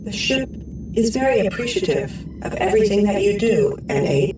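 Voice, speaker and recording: A woman's voice: mid-range voice, very animated, normal speed, distant mic, background noise, natural voice. A woman playing a serene, literal-minded artificial crewmate in a sci-fi audio drama, speaking formally and precisely. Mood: calm, reassuring.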